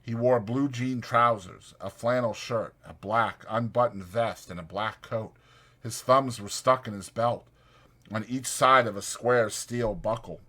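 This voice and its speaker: A man's voice: medium pitch, pushed and bright. A man reading scripted literary narration as an audiobook narrator.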